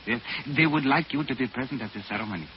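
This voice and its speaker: An actor playing a wise, deferential companion in 1940s radio style, speaking formally and sententiously. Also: male voice, mid-range voice, fast talker, lightly acted. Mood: serene, respectful. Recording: muffled 1940s radio, faint noise.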